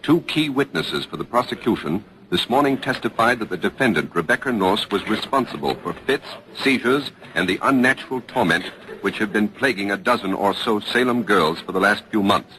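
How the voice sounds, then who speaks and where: Low, forceful male vintage radio reporter. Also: lively intonation, normal speed. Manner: formal, crisp, probing. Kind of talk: 1940s radio news reporting, dramatized. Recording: noisy vintage radio broadcast recording.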